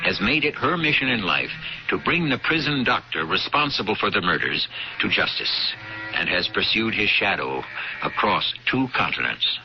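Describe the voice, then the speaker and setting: A man's voice: lowish voice, very animated, moderate pace. A man giving scripted dramatic narration as the narrator of a radio drama. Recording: dull vintage radio recording, music behind.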